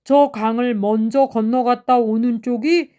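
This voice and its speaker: A woman voicing a boastful but easily frightened, hungry tiger in a children's storybook, with a theatrical drawn-out delivery. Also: on the high side, steady tone, mellow, clean tone, close to an everyday voice.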